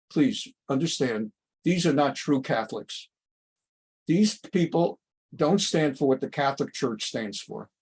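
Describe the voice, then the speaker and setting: Male interview guest, rabbi type: somewhat high voice, emphatic, opinionated, argues through rhetorical flourishes. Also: husky. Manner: emphatic, rhetorical questions, acts out dialogues.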